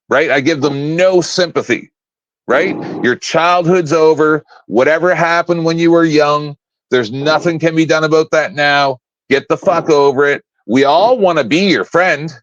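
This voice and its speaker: A man in his fifties talks in a blunt, profane, combative rant with a fairly high voice. The stream audio is dull and lo-fi, with a dry, close sound. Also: a bit bright, dry recording. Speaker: panel guest on a live stream. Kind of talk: casual live-stream rant.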